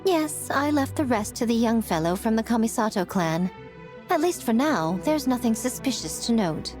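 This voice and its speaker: A woman's voice: fairly high pitch, animated, moderate pace, darkish tone, soft background music, close to an everyday voice. A woman voicing a sly, centuries-old trickster spirit in a video game, playful and smug in her taunts. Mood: coy. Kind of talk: video game character acting.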